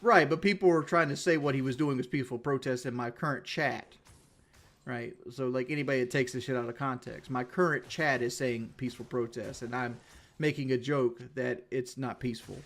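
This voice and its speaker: A man's voice: medium pitch, steady tone, a little fast. Blunt, rambling male streamer. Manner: repeats himself and restarts often. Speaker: livestreamer. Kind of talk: casual livestream chat.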